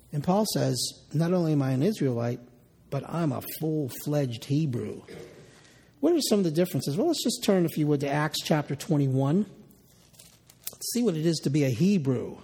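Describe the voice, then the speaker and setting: A man's voice: fairly high pitch, dark tone, breathy edge, in a large hall. A man preaching an expository Bible sermon, reading scripture aloud and then restating it in the first person.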